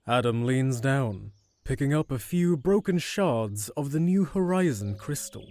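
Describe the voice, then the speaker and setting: A man's voice: medium pitch, lively intonation, measured pace, darkish tone, dry recording. A man narrating a scripted fantasy audio drama as its storyteller.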